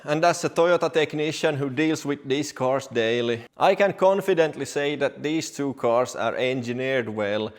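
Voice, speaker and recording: A man from Finland, a technician talking directly and plainly to viewers as he hosts an explanatory car vlog in English. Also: medium pitch. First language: Finnish or Swedish. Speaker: car technician and video host.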